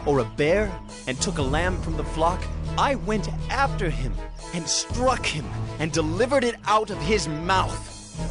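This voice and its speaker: An actor playing a bold, defiant young shepherd of strong faith in an earnest, declamatory audio scripture drama. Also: male voice, a little high.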